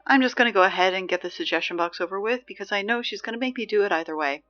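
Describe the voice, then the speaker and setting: A woman's voice: mid-range voice, rapid-fire, a bit bright, muffled recording, soft background music, close to an everyday voice. A woman playing a sarcastic, exasperated teenage girl who reads school announcements in a casual, conversational audio drama style. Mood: wry, chatty. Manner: snarky, conversational, dry.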